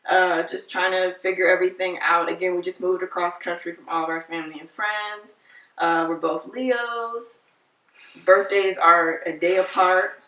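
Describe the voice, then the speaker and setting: A woman in her twenties chatting casually with her partner on a vlog, teasing and quick to laugh. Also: fairly low pitch, steady tone, sharp tone, distant mic. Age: 20s. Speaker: vlog co-host. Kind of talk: casual couple chat. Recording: roomy, mic set back.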